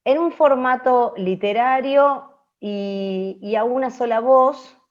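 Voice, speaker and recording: High-voiced Argentine woman teaching, lo-fi audio. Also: takes it slowly. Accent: Rioplatense Argentine Spanish. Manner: didactic, often seeking agreement with short tag questions. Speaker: radio teacher. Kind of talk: explanatory open class. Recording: dull lo-fi audio.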